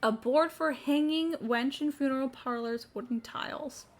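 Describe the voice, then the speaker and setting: High-voiced woman streamer, blunt. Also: normal speed. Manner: blunt, sweary, opinionated. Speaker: livestreamer. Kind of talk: casual game livestream commentary.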